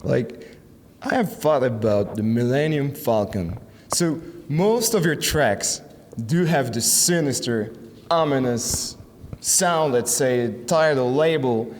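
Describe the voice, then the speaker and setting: A man's voice: medium pitch, animated. Male interviewer, hesitant and filler-heavy, outdoors, long reverb, faint hiss. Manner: hesitant, halting questions with many fillers. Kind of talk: casual interview. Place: outdoor parking lot at night.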